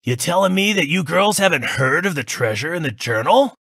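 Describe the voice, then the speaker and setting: A man's voice: fairly high pitch, lively intonation, brisk, creaky, a bit bright, slightly rough, dry recording, clean studio sound, exaggerated voice. An actor playing a chatty, teasing old male store attendant in a visual novel, with folksy colloquial speech. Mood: friendly, surprised.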